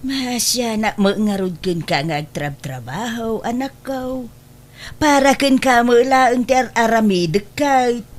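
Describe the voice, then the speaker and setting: A woman's voice: fairly high pitch, unhurried, natural voice. Complaining older Ilocano mother-in-law played by a woman. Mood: reproachful. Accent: Filipino, Ilocano. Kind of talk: comedy drama acting.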